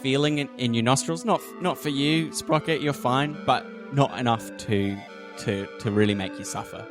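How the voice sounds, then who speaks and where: A man's voice: medium pitch, normal speed, over music. A man working as game master, casually narrating a tabletop roleplaying game.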